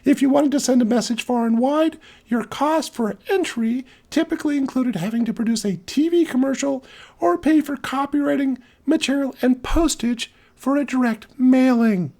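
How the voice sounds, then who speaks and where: Goofy high-pitched reader, played by a man, squeaky and exaggerated, reciting written copy. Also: normal speed, darkish tone. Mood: goofy, recited. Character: goofy high-pitched voice reading an article aloud. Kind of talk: reading copy aloud.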